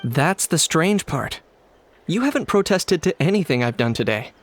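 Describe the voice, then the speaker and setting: Composed, deadpan young man. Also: fairly high pitch, normal speed, slight background noise. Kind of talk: video game voice acting.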